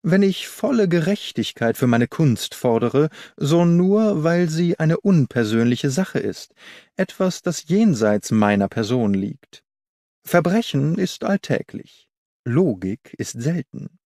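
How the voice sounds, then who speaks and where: A man's voice: fairly high pitch, big pitch swings, slow, dark tone, no echo, clean studio sound, pitched above the performer's own voice, full character voice. Man voicing a haughty, lecturing detective. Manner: lecturing, pompous, precise. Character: haughty, didactic male detective, proud of cold logic. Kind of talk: German audiobook character reading.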